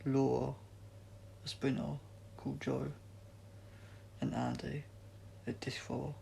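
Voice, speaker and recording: A man's voice: medium pitch, little pitch movement, slow pace, gravelly, warm tone, slight background noise. A man reading as a hesitant, troubled girl swimmer. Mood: grave. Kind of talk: audiobook character dialogue.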